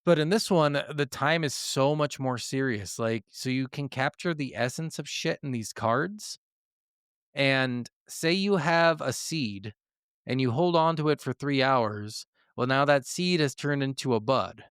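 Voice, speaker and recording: A man's voice: mid-range voice, animated, measured pace, fairly bright tone, smooth, dry recording, clean studio sound. A man co-hosting a casual gaming podcast, talking in an enthusiastic, profanity-laced way. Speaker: podcast co-host.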